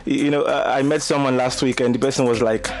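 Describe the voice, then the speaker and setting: A man's voice: mid-range voice, steady tone, fast talker. A young man with a Nigerian accent, a singer speaking as a guest in a radio studio interview, reflective and a little hesitant as he talks.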